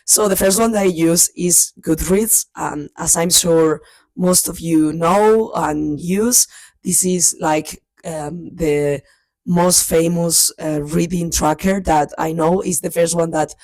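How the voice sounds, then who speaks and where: A woman's voice: fairly low pitch, takes it slowly, darkish tone, no echo. A woman, recovering from a cold, chatting casually about books in her family home, often restarting her sentences. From Spain. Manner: chatty, with frequent restarts and fillers. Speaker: book vlogger. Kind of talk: casual chat. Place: family house, indoors.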